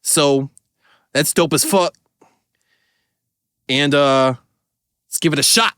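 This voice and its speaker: A man with a voice going a bit hoarse talks in a loose, rambling way, full of filler words and casual swearing. His voice sits a touch high for a man, and the sound is dry and close. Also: slow, a bit bright, no echo. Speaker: caricature artist reacting to music. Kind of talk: casual reaction commentary.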